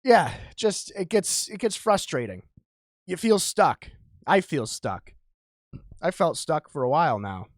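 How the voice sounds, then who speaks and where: A man's voice: on the high side, very animated, measured pace, no echo, studio quality. A man in his thirties hosting a podcast, talking in a candid, rambling, self-deprecating way. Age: 30s. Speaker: podcast host. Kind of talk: casual podcast chat.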